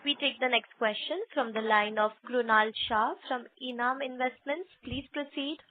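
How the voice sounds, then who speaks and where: A woman's voice: a little high, steady tone, normal speed, slight background noise. A woman making formal, polite scripted announcements as a conference call operator. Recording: narrow phone-like line.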